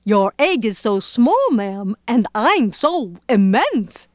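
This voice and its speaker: A woman voicing a huge, gentle, faithful male elephant from a children's storybook, speaking earnestly and deliberately. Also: fairly high pitch, animated, no echo, studio quality. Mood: amused, doubtful.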